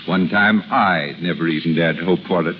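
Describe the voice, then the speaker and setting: A man's voice: low-pitched, moderate pace, dull audio, lightly acted. An actor playing a devoted, eager wealthy businessman in love, effusive and adoring, in 1930s radio drama style. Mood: tender, devoted. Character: devoted, eager shipping magnate, newly divorced man. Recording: noisy 1930s radio recording.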